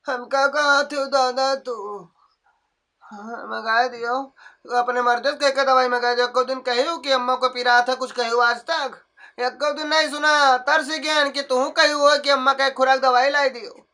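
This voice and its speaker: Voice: high-pitched, steady tone, normal speed, bright, forceful tone. A performer doing a wailing old mother-in-law. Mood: nagging. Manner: wailing, moaning, nagging. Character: groaning old mother-in-law, cranky and complaining.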